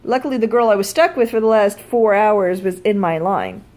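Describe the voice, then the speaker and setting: A woman's voice: mid-range voice, animated, normal speed, darkish tone. A woman telling a personal story in a casual vlog style, blunt and freely swearing. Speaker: vlogger.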